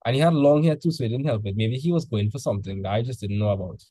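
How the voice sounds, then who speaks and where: A man's voice: medium pitch, fast talker, mellow, no room sound, low-quality recording. A male teacher tutoring students in a casual session, full of "like" fillers, quizzing them with questions as he goes.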